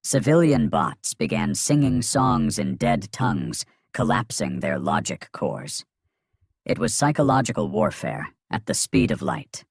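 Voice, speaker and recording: A man giving a dramatic scripted narration of a science fiction story, grave and in clipped phrases with a gravelly, husky voice. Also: medium pitch, very animated, measured pace, no echo, studio quality.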